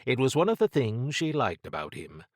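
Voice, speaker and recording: A man's voice: medium pitch, huge pitch swings, moderate pace, dry recording, clean studio sound. A man reading scripted fiction as an audiobook narrator.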